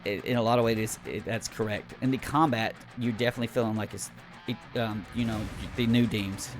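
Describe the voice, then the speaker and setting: A man's voice: fairly low pitch, fairly even intonation, fairly quick, soft background music. A man giving an unscripted, casual game review in a rambling, blunt, griping and sweary way.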